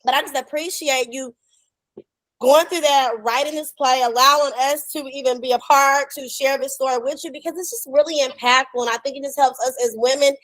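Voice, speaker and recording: A woman's voice: high voice, fairly even intonation, a little fast, a bit bright, dry recording, poor audio. A middle-aged American woman hosting a podcast, chatting casually in an effusive, slangy way over a video call. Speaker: podcast host and developing actor. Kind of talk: casual emotional conversation.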